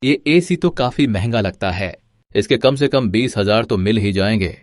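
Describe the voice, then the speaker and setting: A man's voice: on the low side, expressive, normal speed, no echo, clean studio sound, natural voice. Man as a crafty, emphatic cartoon villager. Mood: greedy. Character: Chintu, crafty greedy male village sidekick. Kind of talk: Hindi cartoon moral story dialogue.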